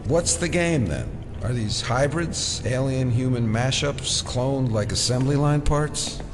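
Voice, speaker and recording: An actor playing a defiant, sardonic American federal agent held captive in an audio drama, muttering wry asides. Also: male voice, medium pitch, expressive, measured pace, close to an everyday voice. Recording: loud dramatic music behind.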